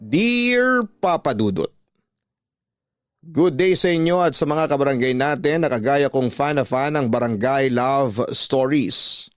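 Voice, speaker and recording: A Filipino man hosting a radio story program, narrating scripted drama in a measured, deliberate way with drawn-out phrase endings. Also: medium pitch, expressive, no room sound. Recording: dry studio voice over clear background music. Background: background music.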